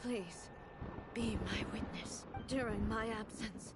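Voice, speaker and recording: A woman voicing a solemn, resolute female ruler in a game, speaking in measured, formal vows. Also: mid-range voice, over loud music, close to an everyday voice. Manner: formal, measured, vow-like speech. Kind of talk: game voice acting.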